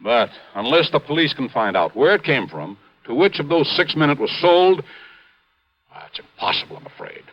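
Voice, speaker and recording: An actor playing a grave, domineering mine boss in a 1950s radio drama, formal and emphatic. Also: male voice, fairly high pitch, sing-song, normal speed. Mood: gloomy, troubled. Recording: muffled vintage radio recording.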